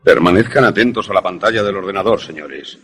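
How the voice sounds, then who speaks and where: A man acting an enthusiastic professor in a radio drama, lecturing in a deliberate, didactic, theatrical way. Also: low voice, fairly bright tone, slightly rough. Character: enthusiastic male professor demonstrating an invention to students.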